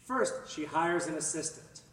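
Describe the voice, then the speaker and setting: A man reading a children's picture book aloud in an expressive, dramatic manner, drawing out words and swelling with emphasis. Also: fairly high pitch, a little slow. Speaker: storybook reader. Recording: echoey room, distant mic, faint noise.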